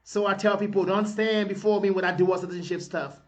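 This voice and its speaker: A man's voice: high-pitched, fairly even intonation, fairly quick, dull audio. A man from Liberia giving an impassioned video address to his compatriots, preaching in repeated rhetorical appeals. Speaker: political advocate addressing compatriots.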